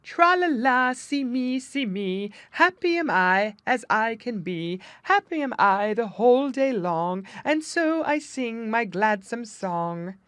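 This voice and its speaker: Woman as a joyful, high singsong songbird. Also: expressive, takes it slowly, hardly any pauses, a bit bright, dry recording, studio quality. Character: joyful singing male redwing bird. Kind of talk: children's animal storybook character.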